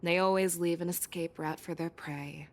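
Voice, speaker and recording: A woman playing a battle-hardened fox-folk general in a video game, stern, composed and measured. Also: lowish voice, fairly even intonation. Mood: cold, grim. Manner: measured, grave, commanding.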